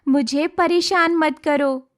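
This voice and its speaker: Woman voicing a very high-pitched young village heroine. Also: takes it slowly. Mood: defiant. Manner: earnest, expressive, high-voiced. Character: kind, brave young village woman, protective. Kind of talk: Hindi cartoon moral story dialogue.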